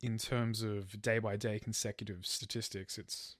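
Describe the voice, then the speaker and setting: Low-voiced man, dry close sound. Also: level tone, moderate pace, no room sound. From Australia. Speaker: true crime podcast host. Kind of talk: semi-scripted true crime storytelling.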